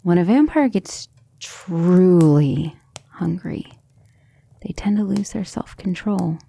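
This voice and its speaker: A gentle, earnest vampire lover, played by a woman in an intimate ASMR roleplay. Her voice is mellow and breathy with some creak, and she speaks tenderly and hesitantly, as if confiding in someone dear. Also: lowish voice, slow, exaggerated voice. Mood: troubled, doubting. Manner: soft, hesitant, tender, often breathy near-whisper. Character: gentle, earnest, self-doubting female vampire, devoted lover.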